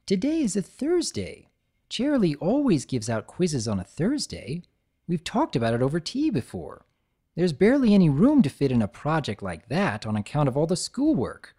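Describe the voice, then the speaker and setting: A man voicing a cheerful, bookish young pony mare with a bright, friendly and precise manner. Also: on the high side, wildly swooping pitch, moderate pace, breathy edge, voice pushed up, exaggerated voice. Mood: reassuring.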